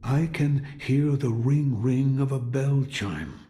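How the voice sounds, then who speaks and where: An actor playing a weary, brooding old sculptor of Buddhas in a video game, speaking in a deliberate, cryptic way. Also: male voice, medium pitch, dark tone, slight background noise, natural voice. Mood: grave, brooding. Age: older. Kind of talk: scripted video game dialogue.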